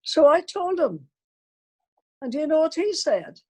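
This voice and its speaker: An elderly grandmother with a fairly high voice tells unscripted stories from her life to her family. The woman recounts them as anecdotes, speaking the remembered dialogue as she goes. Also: sing-song, unhurried. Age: older, elderly grandmother. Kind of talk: unscripted personal storytelling to family.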